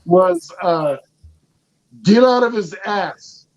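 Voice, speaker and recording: A man tells a rambling stand-up story over a video call, loud and poking fun at himself. His voice sits fairly high. It comes through a home call mic. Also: animated, very slow, no echo, low-quality recording. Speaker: stand-up comic in residence. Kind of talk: stand-up set over a stream.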